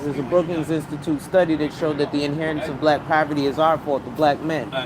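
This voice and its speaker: A man, streaming live on a city street and talking in a blunt, slangy, profane, rambling way. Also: on the high side. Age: 40s. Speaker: financial advisor, live streamer. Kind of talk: casual live-stream talk. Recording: street noise around.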